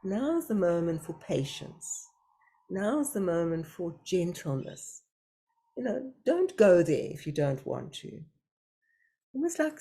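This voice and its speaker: A woman facilitating an online group discussion, speaking gently and thoughtfully over a video call. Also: lowish voice, husky.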